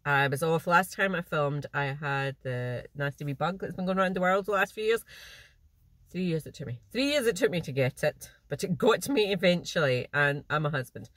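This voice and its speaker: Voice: medium pitch, animated, brisk, a bit bright, no echo. Stitcher vlogging from the car, nasal and a bit stuffy, rambly chat, dry close sound. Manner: chatty, rambling, with false starts and small laughs. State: slightly blocked nose. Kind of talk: casual chat to camera. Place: parked car.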